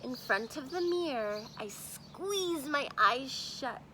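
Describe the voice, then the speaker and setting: A woman teacher reading a picture book aloud to children expressively, pausing to think aloud and pose questions. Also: high-pitched, expressive, sharp tone, slight background noise.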